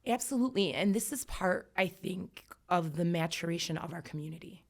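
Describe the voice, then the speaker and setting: A woman's voice: lowish voice, expressive, a little fast. A woman in her thirties, an educator guest on a podcast, talking reflectively and emphatically with many fillers. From United States. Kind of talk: earnest podcast conversation.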